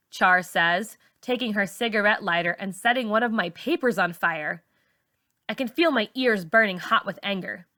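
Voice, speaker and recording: A teacher reads a novel aloud in an expressive first-person voice. Her tone is bright and crisp, and the sound is close and dry, with no room around it. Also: medium pitch, no room sound. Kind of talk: audiobook-style novel reading.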